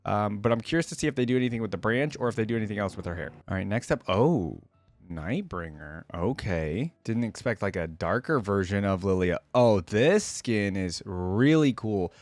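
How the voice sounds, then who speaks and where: A man's voice: lowish voice, expressive, normal speed, a little mellow, no echo. A man giving casual, informal reaction commentary as a video host, musing aloud as he goes.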